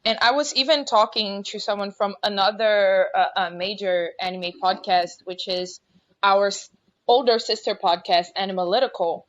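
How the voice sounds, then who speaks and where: A woman's voice: medium pitch, moderate pace. A young woman in her twenties chats frankly and brashly as a podcast co-host. Her audio comes through slightly muffled and lo-fi. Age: 20s. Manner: upbeat, candid, brash. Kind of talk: casual panel chat.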